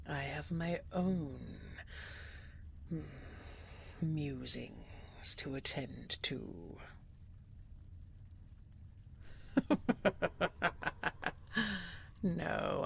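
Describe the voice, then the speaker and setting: A woman playing a regal, possessive dragon queen in an intimate audio roleplay, with a breathy, whispery voice and formal, coaxing speech full of endearments. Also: low voice, a little hiss or hum, full character voice. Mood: soft, musing.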